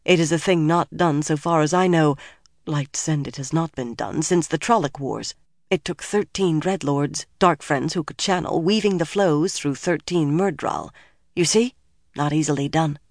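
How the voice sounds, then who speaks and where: A woman acting a hushed, guarded senior sorceress. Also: low voice, no room sound, clean studio sound. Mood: hushed, urgent. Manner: hushed, measured, firm. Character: solemn senior sorceress, motherly but guarded and secretive.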